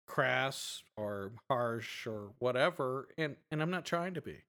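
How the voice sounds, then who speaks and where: A man's voice: medium pitch, unhurried. A middle-aged man with a strong voice, co-hosting a podcast and chatting casually with lots of fillers. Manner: animated storytelling, lots of fillers. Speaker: podcast co-host. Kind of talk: casual chat.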